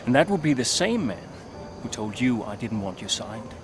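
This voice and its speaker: An actor playing a veteran male racing driver, proud and rueful, in a game cutscene, with a low-key, measured delivery. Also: sing-song, music in the background.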